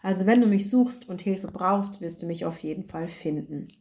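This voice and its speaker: A woman hosting a solo podcast as an academic writing coach, chatting casually with many fillers, her throat slightly hoarse. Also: fairly low pitch, moderate pace, darkish tone.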